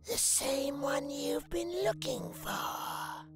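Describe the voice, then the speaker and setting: A man voicing a sly, haggard witch woman, a devoted cultist, in a breathy, coy voice for a dramatic audio story. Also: extremely high pitch, fairly even intonation, pitched above the performer's own voice, exaggerated voice. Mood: sly, eerie. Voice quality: breathy, whispery. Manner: slow, coy, creepy. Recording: music bed behind the voice.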